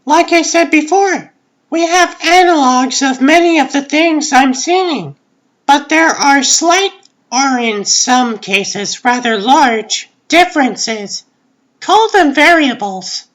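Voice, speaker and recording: A clinical young female scientist from a comic book drama, voiced by a woman. She is high-pitched and takes her time, laying things out in a precise, matter-of-fact and confident way. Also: animated, fairly bright tone, full character voice, low-quality recording. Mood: analytical, thoughtful. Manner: matter-of-fact, measured, analytical. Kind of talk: comic book dub, scripted dialogue.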